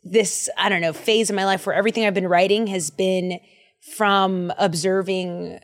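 A young adult woman co-hosting a podcast in casual chat, playful and animated, slipping into little voices. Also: medium pitch, moderate pace. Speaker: podcast co-host.

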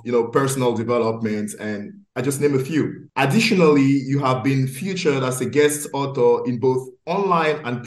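Host in an echoey room. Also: male voice, medium pitch. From Cameroon. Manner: earnest, leans on fillers like "I mean" and "you know". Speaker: podcast host. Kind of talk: interview hosting.

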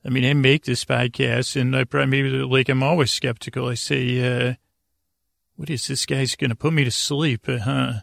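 A man hosting a bedtime podcast, meandering and halting as he rambles, often trailing off mid-thought. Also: mid-range voice, little pitch movement, gravelly, fairly bright tone, no echo, clean studio sound. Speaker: podcast host.

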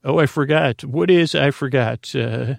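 Creaky, meandering man hosting a bedtime podcast. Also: mid-range voice, measured pace, no room sound, studio quality. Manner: meandering, self-deprecating, soothing, easily distracted. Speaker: podcast host. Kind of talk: rambling bedtime podcast monologue.

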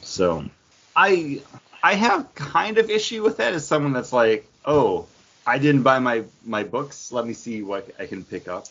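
A husky-voiced man chats casually as a podcast co-host. His pitch moves around a lot, giving his talk an animated swing. The audio is somewhat dull and lo-fi. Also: a little slow. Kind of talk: casual comics podcast chat.

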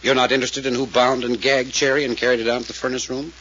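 An actor playing a shrewd, commanding detective who questions insistently in a clipped manner, in 1940s radio drama style. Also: male voice, medium pitch, flat delivery, quick pace, a bit bright, slight background noise, natural voice. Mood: challenging. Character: commanding, shrewd male detective, relentless interrogator. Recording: muffled old radio recording.

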